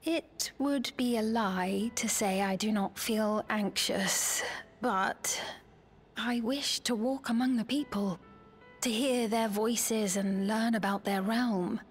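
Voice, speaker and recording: An actress playing a gentle, formal young queen in a video game, speaking in measured, earnest, archaic phrases. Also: fairly high pitch, slightly rough, soft background music. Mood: earnest, polite. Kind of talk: scripted video game cutscene acting.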